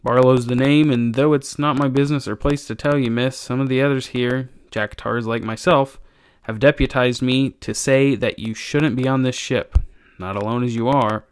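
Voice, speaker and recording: A man's voice: mid-range voice, fairly even intonation, moderate pace, clear, resonant tone, dry recording, close to an everyday voice. A man voicing a decrepit old sailor in an audiobook, deferential, hesitant and murmuring. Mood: hangdog, warning. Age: older. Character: decrepit old sailor, cringing, humble, dutiful. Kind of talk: audiobook character voice.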